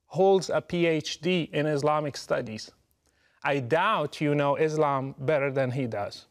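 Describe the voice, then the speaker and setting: A middle-aged man from Morocco, giving a prepared, deliberate and emphatic address straight to the listener. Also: fairly high pitch. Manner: measured, emphatic, deliberate delivery. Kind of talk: prepared direct address to camera.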